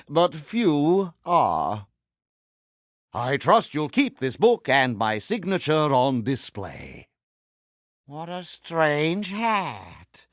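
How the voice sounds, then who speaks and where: Vain pirate villain, British accent, highish swooping pitch, grandiose, mannered, theatrical game voice. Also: male voice, fairly bright tone, no echo, clean studio sound, full character voice. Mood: haughty, genial. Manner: pompous, grandiose, mannered formal speech. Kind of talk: theatrical video game character voice acting.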